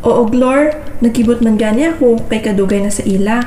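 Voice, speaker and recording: A woman's voice: fairly high pitch, fairly quick, mellow, close to an everyday voice. A woman playing a hardworking, caring young girlfriend in an amateur radio drama, earnest and conversational, with a Western Visayan Filipino accent. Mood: concerned, resigned. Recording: roomy echo, faint background noise.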